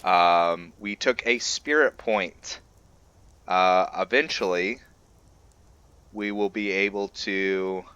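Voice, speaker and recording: A man hosting a gaming channel, giving laid-back, halting roleplay commentary as he thinks aloud. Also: low voice, a bit bright. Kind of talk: casual roleplay game commentary.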